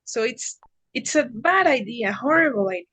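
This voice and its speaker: A young woman from Mexico with a Mexican accent, a student teacher speaking in a lively, animated way in a speaking exam. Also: on the high side, moderate pace, breathy edge, no echo. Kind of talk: exam conversation.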